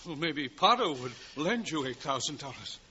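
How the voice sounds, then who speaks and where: An actor playing a timid, helpless, flustered uncle pleading in 1940s radio drama style. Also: male voice, a little high, very animated, moderate pace, sharp tone, slight background noise. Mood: pleading, distraught. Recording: muffled 1940s radio recording with music.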